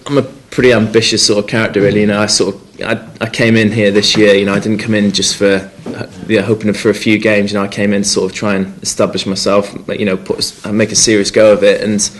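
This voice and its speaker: Hesitant young Welshman, lowish voice. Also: flat delivery, fast, hardly any pauses. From North Wales. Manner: hesitant, many fillers and restarts. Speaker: rugby player. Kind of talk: press conference interview.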